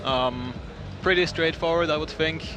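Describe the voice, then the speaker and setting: A man's voice: a little high, a little slow. A man with an Austrian accent giving casual live sports co-commentary. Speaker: co-commentator and competition climber. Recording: music playing behind the voice.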